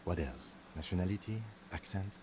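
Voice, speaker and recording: A man putting on a low voice for a detective asking a witness short questions. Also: expressive, normal speed, noisy background. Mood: gruff. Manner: clipped, questioning.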